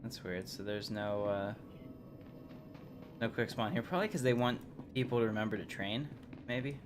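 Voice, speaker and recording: A man's voice: lowish voice, normal speed, music in the background. A man giving casual live commentary as he streams a video game. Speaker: game livestreamer. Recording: clear background noise, game ambience.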